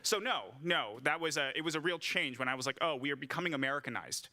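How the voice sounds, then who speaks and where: A man from Hong Kong moderating a library author talk, telling stories in a measured, thoughtful way. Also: fairly high pitch, lively intonation, pushed and bright. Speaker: event moderator. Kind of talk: conversational interview. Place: library event room.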